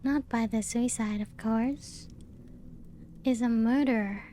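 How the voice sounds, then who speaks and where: Woman as teasing female vampire castle guide, ASMR roleplay. Very slow, hushed delivery, higher-pitched. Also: steady tone. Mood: charmed, soothing. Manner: slow, hushed, often breaking into whispers and soft chuckles. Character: playful, teasing female vampire showing guests her ancient castle. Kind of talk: soft-spoken ASMR roleplay. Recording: music playing behind the voice. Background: background music.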